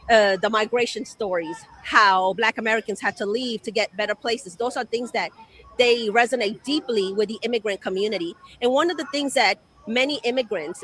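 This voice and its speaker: A woman's voice: a little high, a bit bright, rough recording. A woman from Ecuador, speaking earnestly as an interviewed activist.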